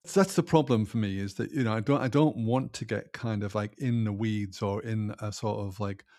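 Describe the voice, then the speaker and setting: A man's voice: lowish voice, normal speed, a little mellow. A man co-hosting a podcast, stating his view emphatically and firmly, with restarts and asides. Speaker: podcast co-host. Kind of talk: casual podcast conversation.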